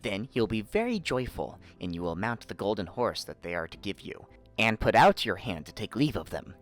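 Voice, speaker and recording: A man's voice: on the low side, very animated, moderate pace, soft background music. A man voicing a cunning old fox who gives wise, emphatic counsel in a storybook reading. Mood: eager, scheming. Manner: instructing, emphatic.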